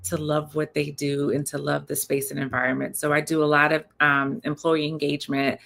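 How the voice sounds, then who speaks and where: A woman's voice: deep voice, little pitch movement, fairly quick, gravelly, a bit bright. A woman panelist speaking enthusiastically about her work on a video call. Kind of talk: panel discussion.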